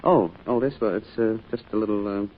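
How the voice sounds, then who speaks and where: An actor playing a genial, joking husband at home, casual and teasing, in an old-time radio drama. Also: male voice, on the low side, a little fast, slight background noise, lightly acted. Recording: muffled old radio recording.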